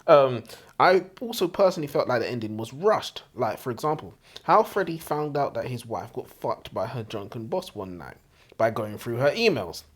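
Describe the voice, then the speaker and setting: A man's voice: medium pitch, very animated, normal speed, slightly rough. A man co-hosting a book podcast in casual chat, blunt and slangy, repeating points for emphasis. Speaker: book review podcast co-host. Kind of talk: casual opinionated book discussion.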